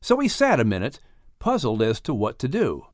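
A male audiobook narrator with a mid-pitched voice tells a children's story. His delivery is animated and dramatic, with careful phrasing and a dry studio sound. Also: moderate pace, dry recording. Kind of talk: expressive scripted storybook narration.

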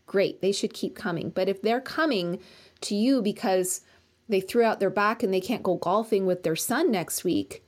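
A woman's voice: medium pitch, normal speed. A woman acupuncturist who practices in Colorado, a guest speaking earnestly in long run-on stretches in a casual professional conversation.

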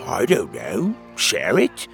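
A man's voice: sits low, wildly swooping pitch, slow, vocal fry, a bit bright, faint music behind, exaggerated voice. A man voicing a bold, plainspoken platypus hero in a children's storybook. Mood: easygoing.

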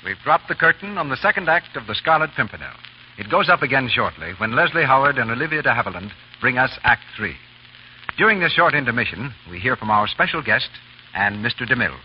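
Male radio announcer, animated intonation, 1930s style, muffled recording, heavy noise. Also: mid-range voice, fast talker.

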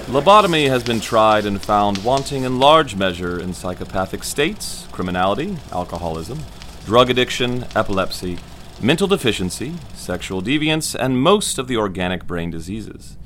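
A man's voice: fairly low pitch, animated, fairly bright tone, a little hiss or hum. Man playing a clinical 1940s lecturing doctor, swooping intonation. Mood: detached, didactic. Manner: measured, detached, authoritative. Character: confident, clinical 1940s male lobotomist lecturing medical students. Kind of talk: formal scripted medical lecture.

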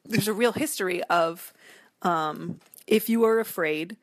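A woman giving thoughtful, discursive commentary as an interview guest, often halting as she works out her ideas. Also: mid-range voice, no room sound. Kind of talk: conversational literary and cultural commentary.

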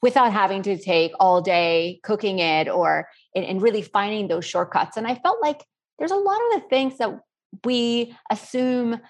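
A woman's voice: mid-range voice, normal speed. A middle-aged woman from Spain answering interview questions in an earnest, rambling, conversational way. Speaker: interview guest, self-taught cook.

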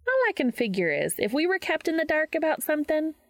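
A woman's voice: sits high, big pitch swings, a little fast, pitched above the performer's own voice. A woman voicing a cheery, sweet, folksy woman with a Minnesota accent in an audiobook story. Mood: puzzled. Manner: folksy, pleasant.

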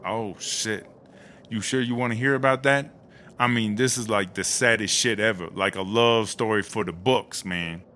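A man's voice: lowish voice, normal speed, sharp tone, a little hiss or hum. An actor playing a brash, proud woman prison inmate who tells stories in swaggering street slang for a comedy audio drama. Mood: boastful, fond.

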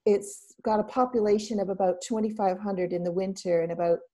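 A middle-aged Canadian woman talks easily as a guest, her voice mellow and warm. She rambles a little, with ums and restarts, and keeps the tone relaxed. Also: medium pitch, brisk, slight background noise. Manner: relaxed, chatty, laughs often, many ums and restarts. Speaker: guest entrepreneur. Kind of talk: casual interview chat.

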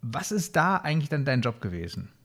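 A man's voice: mid-range voice, big pitch swings, brisk, fairly bright tone. A man co-hosting a podcast, asking informal questions with hesitant fillers. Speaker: podcast co-host.

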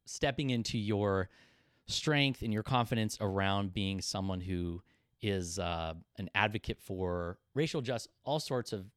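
A man's voice: fairly low pitch, a little slow, clear, resonant tone, dry recording, clean studio sound. A man hosting a conversational interview, framing questions thoughtfully with searching phrasing and many fillers. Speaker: podcast host.

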